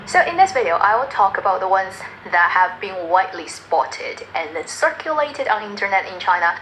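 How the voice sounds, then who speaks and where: A woman presenting her own video essay, explaining film dialogue in a measured, scripted way. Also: mid-range voice, pushed and bright, low-quality recording. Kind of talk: scripted narration.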